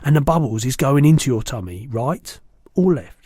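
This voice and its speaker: A man's voice: medium pitch, lively intonation, moderate pace, darkish tone, husky, no room sound, clean studio sound. A man voicing a big, friendly old giant in a storybook, exuberant and indignant, exclaiming emphatically in muddled words and grammar. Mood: explaining.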